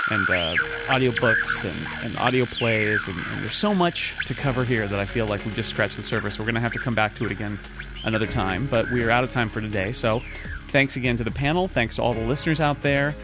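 A man hosting a panel show, giving a casual sign-off in a halting, conversational way. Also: medium pitch. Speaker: podcast host. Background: loud music.

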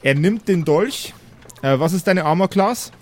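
A man's voice: high-pitched, lively intonation, a little slow. A man improvising narration as the game master of a tabletop role-playing game.

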